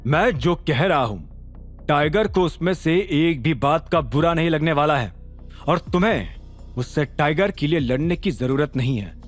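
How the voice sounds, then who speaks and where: Man acting a menacing, animated secret gang boss. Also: a little high, measured pace. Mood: firm, confident. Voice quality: heavy voice. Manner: taunting, interrogating, self-assured. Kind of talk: audio drama character acting. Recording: music behind.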